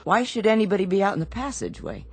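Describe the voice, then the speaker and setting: Woman as husky, firm Navy doctor. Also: medium pitch, huge pitch swings, quick pace, dry recording, heavily acted. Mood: steady, probing. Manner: firm, insistent, reassuring. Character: steady, no-nonsense Navy ship's doctor. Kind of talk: radio drama acting.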